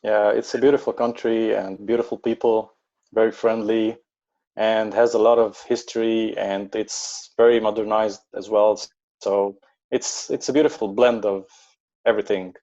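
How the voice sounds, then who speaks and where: A man with an Egyptian accent answers questions about his work in a halting, filler-heavy way. His voice sits on the lower side. The sound is dull and lo-fi, as if coming through a remote connection. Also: little pitch movement, mellow. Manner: hesitant, many fillers and restarts. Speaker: guest photographer. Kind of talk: casual interview chat.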